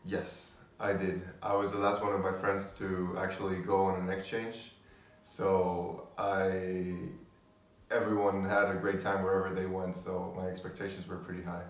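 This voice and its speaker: A man in his twenties from Spain, a student answering interview questions calmly. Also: deep voice, moderate pace, heavy reverb, recorded from a distance. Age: 20s. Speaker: exchange student. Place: indoors, small room.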